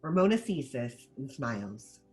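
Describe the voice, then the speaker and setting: A woman reading stage directions as scripted narration at a table read, in an animated manner. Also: a bass voice, moderate pace, soft background music.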